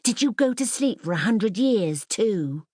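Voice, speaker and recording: A woman's voice: mid-range voice, animated, unhurried, a little mellow, dry recording, studio quality, voice pushed up. A woman voicing an earnest, sensible, inquisitive young girl in a children's audiobook. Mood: curious. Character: curious, sensible young girl, questioning and wary.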